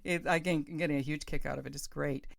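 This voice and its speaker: A woman's voice: low voice, fairly even intonation, fast talker, vocal fry, no echo. A middle-aged woman hosting a casual interview, informal, encouraging and enthusiastic in how she talks. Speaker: podcast host and interviewer.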